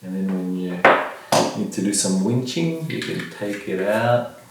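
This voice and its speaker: A middle-aged man with a gravelly voice, casually showing and reviewing a product at a table in a bare room, picked up by a camera a few metres away. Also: lowish voice, lively intonation, unhurried. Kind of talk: casual unboxing demonstration. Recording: very echoey room, distant mic, faint noise.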